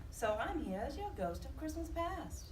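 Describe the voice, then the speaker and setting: Actress as exasperated female Christmas spirit: high, swooping, blunt and scolding, echoey live stage with music. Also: very animated, normal speed, distant mic, a little hiss or hum. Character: brusque, exasperated female ghost of Christmas past. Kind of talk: live comedic audio drama acting.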